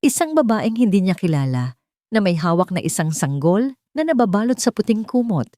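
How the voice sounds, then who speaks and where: A woman with a Filipino accent narrating a scripted love story in a measured, sentimental way. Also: medium pitch, very animated, warm tone, no echo, clean studio sound. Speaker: narrator of audio love stories.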